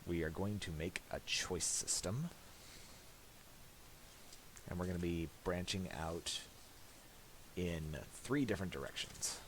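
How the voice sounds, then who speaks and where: Male tutorial presenter, low and unhurried, halting and unscripted, casual delivery. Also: fairly bright tone. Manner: halting, thinking aloud. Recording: faint hiss and mouse clicks.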